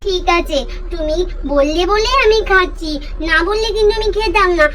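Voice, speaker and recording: A woman's voice: very high-pitched, normal speed, a bit bright, pitched above the performer's own voice. A woman voicing a demanding, chatterbox little girl doll in a story, with a whiny, pouty, childish manner.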